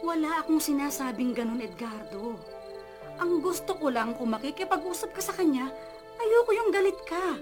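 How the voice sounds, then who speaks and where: High-voiced, pleading worried mother. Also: lively intonation, moderate pace, music in the background. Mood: anxious pleading. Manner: pleading, emotional. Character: worried, protective mother pleading for her son. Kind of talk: radio drama acting.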